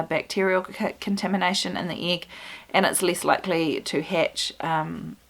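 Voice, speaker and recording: Kiwi lady with a croaky gravelly voice, lowish, chatty how-to with lots of ums. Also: a bit bright. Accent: New Zealand. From New Zealand. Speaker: homestead video host. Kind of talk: casual tutorial. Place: small music studio indoors.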